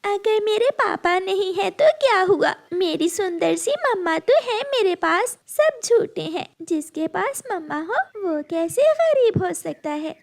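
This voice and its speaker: A woman's voice: very high-pitched, expressive, measured pace, vocal fry, hardly any pauses, full character voice. A woman voicing a sweet, innocent little girl bird in a childlike, earnest way for a cartoon. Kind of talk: Hindi moral-story cartoon dialogue.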